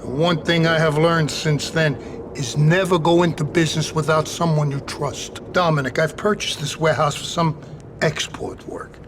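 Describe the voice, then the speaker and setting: An actor playing a courteous, measured and wary Sicilian mafia don in a video game cutscene. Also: male voice, fairly high pitch, steady tone, background noise, natural voice.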